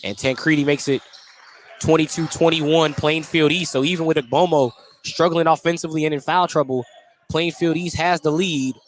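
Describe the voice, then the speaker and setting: Man, American accent, fairly high pitch, live basketball radio play-by-play, noisy gym background. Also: steady tone, normal speed. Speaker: play-by-play sports announcer. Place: indoor basketball gym during a game.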